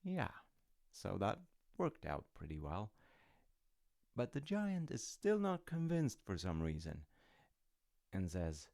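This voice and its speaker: A very low male voice with a creaky, gravelly edge, close and dry. A man plays an easygoing middle-aged dad in an audio roleplay, telling a bedtime folktale with wry, casual asides and no hurry at all. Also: huge pitch swings, no room sound, full character voice. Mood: wry storytelling. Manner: unhurried, musing, gently wry. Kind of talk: intimate roleplay storytelling with casual rambling asides.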